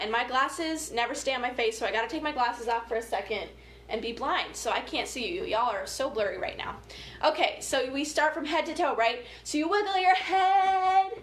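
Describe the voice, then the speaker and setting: High-pitched woman hosting children's story time, echoey. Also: fairly bright tone. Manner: playful, chatty, informal, encouraging children along. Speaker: story time host, family educator. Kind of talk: casual interactive children's story time, movement prompts and read-aloud. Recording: echoey room with light background noise.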